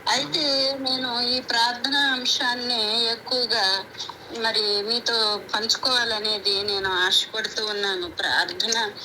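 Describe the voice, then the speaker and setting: An Indian woman preaches in an earnest, deliberate devotional style, repeating key phrases on a conference call. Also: mid-range voice, sharp tone. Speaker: preacher leading a prayer meeting. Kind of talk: devotional sermon with scripture reading and prayer. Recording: noisy conference call recording.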